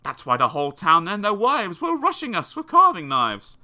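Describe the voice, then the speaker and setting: A man reading children's verse aloud as an audiobook narrator, theatrical and expressive. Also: sits high, normal speed. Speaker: audiobook reader.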